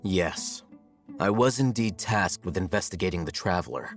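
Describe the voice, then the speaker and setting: A man playing a cool, calculating young scholar in a video game, articulate, measured and detached. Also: deep voice, animated, faint music behind. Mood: detached, explaining.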